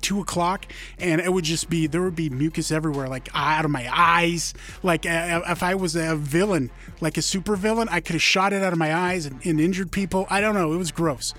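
A hoarse male radio host in a rambling, casual chat, full of fillers and asides. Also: a little high, a bit bright. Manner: rambling, filler-heavy, self-deprecating.

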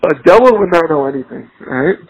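A man's voice: on the high side, animated, fast talker, creaky, crowd noise, rough recording. A man chatting as a podcast guest in a blunt, combative, swearing style of friendly ribbing. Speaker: podcast guest, police officer. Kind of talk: profane bantering phone chat.

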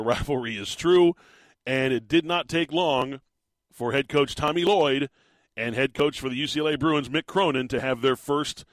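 Slightly raspy sports radio host. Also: male voice, mid-range voice, no echo. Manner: chatty, rambling with fillers and restarts. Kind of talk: morning sports talk radio.

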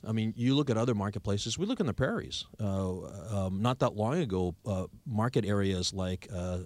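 Low-pitched Canadian radio host, a man. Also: lively intonation, normal speed, a little mellow, no echo. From Victoria, Canada. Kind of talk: conversational radio interview.

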